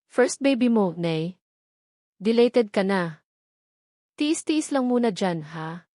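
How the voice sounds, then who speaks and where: Audio drama bit part: weary nurse voiced by a female narrator, brisk, matter-of-fact, dry sound. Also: medium pitch, lively intonation, mellow, dry recording. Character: tired, hurried hospital nurse.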